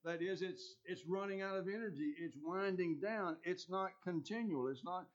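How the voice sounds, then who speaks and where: A man's voice: high voice, normal speed. A man preaching a sermon with a scratchy voice, talking earnestly and often restarting his phrases. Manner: earnest, with many restarts and ums. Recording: dull lo-fi recording.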